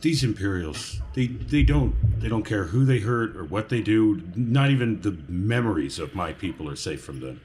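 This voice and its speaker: Brooding male rebel, hurt and bitter, acted by a man at a game table, deep voice, slight background noise. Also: expressive, normal speed, hardly any pauses, a little mellow, natural voice. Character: wounded, bitter male rebel grieving his family keepsakes.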